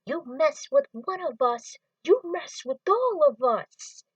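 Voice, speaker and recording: A woman voicing an earnest young hero, speaking high and loud with intensity. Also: very animated, takes it slowly, dry recording, recorded from a distance, heavily acted. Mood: defiant.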